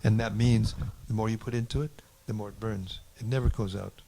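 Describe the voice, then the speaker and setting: A man's voice: fairly low pitch, fairly even intonation, fairly quick, heavy background noise. A man making an explanatory comment in a group discussion, sounding distant from the microphone. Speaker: participant quoting scripture in a discussion.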